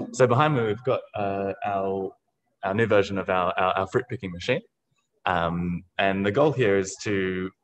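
An Australian man pitching his startup on a video call, halting with many fillers and restarts. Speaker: startup founder.

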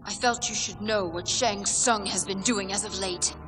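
A woman's voice: mid-range voice, fairly even intonation, normal speed, long reverb tail, lightly acted. A woman playing a proud, earnest young warrior princess in a video game, with formal, stately diction. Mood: formal, indignant. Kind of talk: video game cutscene acting. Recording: loud music behind the voice.

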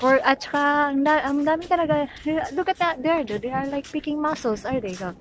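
A woman's voice: high voice, a little fast. A young woman from the Philippines with a Filipino accent, a Bisaya native speaker, chatting casually in a travel vlog with hesitant English, talking into a handheld selfie camera in a van and on a beach. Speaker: vlogger. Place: inside a van, then outdoors on a sandy beach.

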